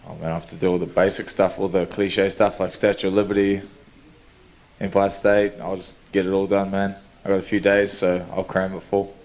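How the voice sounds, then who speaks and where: A young man, answering reporters' questions in an easygoing, relaxed way at a media day. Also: low voice, fairly quick. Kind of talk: press scrum answers.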